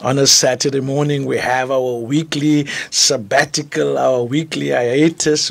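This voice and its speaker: A middle-aged man, a pastor speaking on a radio devotional show in a small studio, his voice hoarse from travel, talking fluently with restarts and easy laughs. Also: medium pitch. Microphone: studio mic, headphones.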